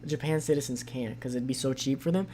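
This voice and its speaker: An American man with a hoarse voice, hosting a casual podcast and chatting loosely while reading out viewer comments. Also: mid-range voice, quick pace, mellow, slight background noise. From United States. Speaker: podcast host.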